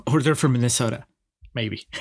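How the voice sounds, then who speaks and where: A man's voice: mid-range voice, normal speed. Laughing man with a Peruvian accent. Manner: wry, laughs often, sarcastic asides. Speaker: podcast co-host. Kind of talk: casual film review chat.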